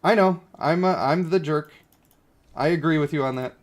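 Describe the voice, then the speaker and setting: Guy streaming a mobile game, voice a bit on the high side, casual but blunt, kinda exasperated and ranty. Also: normal speed. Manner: opinionated, blunt, exasperated ranting with some swearing.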